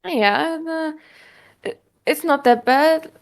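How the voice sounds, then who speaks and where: A young woman guest answering interview questions in a hesitant, rambling way. She pauses often and fills the gaps with "like" and "you know", and her voice sits fairly high. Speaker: VTuber guest. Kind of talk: casual interview chat.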